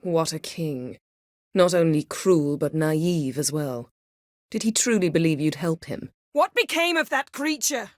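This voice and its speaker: An actress playing a proud, sharp-tongued sorceress in a fantasy video game, speaking in a haughty, sardonic, clipped and articulate way. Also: female voice, deep voice, lively intonation, unhurried, no room sound, clean studio sound. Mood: dry, sardonic, then sharp, demanding.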